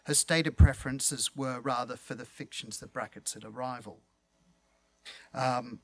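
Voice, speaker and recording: A man reading a prepared literary talk aloud as a speaker and host, in measured, formal and eloquent phrasing. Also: mid-range voice, fairly bright tone.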